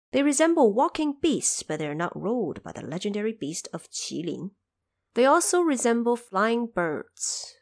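Slow, expressive woman storyteller. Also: fairly low pitch. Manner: expressive, deliberate. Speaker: storyteller, narrator. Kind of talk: scripted audio drama narration with explanatory asides.

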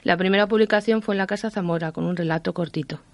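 A woman's voice: lowish voice, quick pace, no echo. A woman from Madrid with a Madrid accent, a guest author chatting in a radio interview and acting out the voices she quotes. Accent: Madrid Spanish. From Madrid, Spain.

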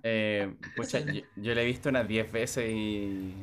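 A man hosting a casual podcast chat, relaxed and hesitant, reading out listener comments. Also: lowish voice, unhurried, slight background noise. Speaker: podcast host.

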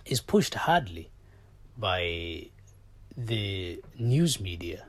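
A man whose first language is Somali, hosting a solo podcast and giving his opinions, swinging from loud outbursts to low muttered asides. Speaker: podcast host.

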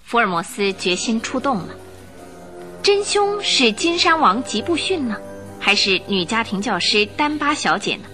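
A woman's voice: a little high, very animated, normal speed, sharp tone, soft background music. A woman reading dramatic scripted narration for a radio drama.

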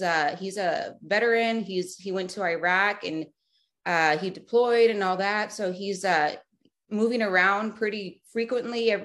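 A woman's voice: medium pitch, a bit bright. A woman in her thirties from South Texas, a podcast guest talking in a chatty way with many fillers and restarts. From Rio Grande Valley, South Texas. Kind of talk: casual remote interview.

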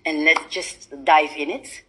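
A woman's voice: low voice, fairly even intonation, measured pace, a bit bright, husky. A young adult Belgian woman with a Belgian accent, hosting an interview podcast, speaking hesitantly with many fillers and encouraging prompts. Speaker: podcast host.

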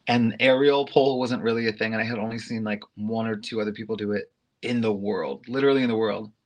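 A man's voice: lowish voice, fast talker. Guy telling wild stories, says like constantly, laughs a lot, very casual. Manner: loose and animated, with filler "like" and frequent laughter. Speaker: interview guest, aerialist and go-go dancer. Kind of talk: casual storytelling chat.

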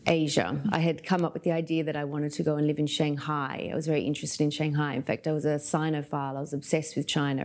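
A woman in her thirties from Australia, a yoga teacher telling her life story as a podcast guest in a thoughtful, unhurried way. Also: low voice, vocal fry, darkish tone. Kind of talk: reflective storytelling interview.

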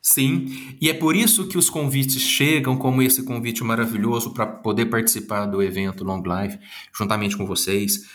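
A man's voice: medium pitch, fairly even intonation, moderate pace. A man from Minas Gerais, Brazil, with the accent of the region, answering interview questions in an earnest, lecture-like way and reeling off long lists of words. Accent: Minas Gerais Brazilian Portuguese. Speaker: guest, psychologist and speaker.

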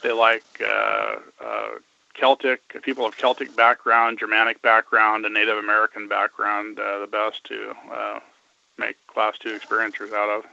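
A man in his fifties, a guest on a radio interview, talking haltingly with many fillers and restarts. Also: on the low side, vocal fry, pushed and bright, dry recording. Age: 50s. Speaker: guest researcher. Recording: dull lo-fi radio sound.

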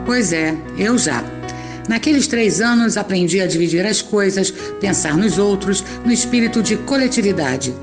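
A woman's voice: mid-range voice, animated, normal speed. A woman narrating a scripted audio drama in a conversational way, with rhetorical questions. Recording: music under the voice.

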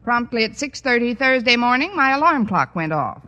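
An actress playing a wry, wisecracking high school English teacher in an old radio comedy, with dry, sardonic delivery. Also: female voice, normal speed. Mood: wry narration. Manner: dry, sardonic, deadpan quips. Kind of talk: 1940s-style radio comedy acting. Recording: noisy vintage radio recording.

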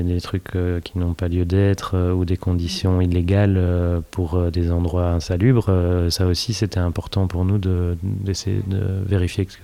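A man who works in social support, explaining things in a measured way during a conversation, with frequent hesitations and fillers. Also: deep voice, dark tone. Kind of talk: casual conversation.

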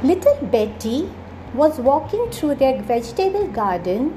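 Female children's storyteller, expressive and very unhurried, with faint background noise. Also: sits high, poor audio. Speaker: storyteller, podcast host.

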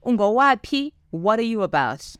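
A woman's voice: mid-range voice, big pitch swings, clean tone, no room sound, studio quality. A woman hosting a podcast, addressing her listeners as "good people" and affirming her guests. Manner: slow, warm-welcoming, affirming. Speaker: podcast host.

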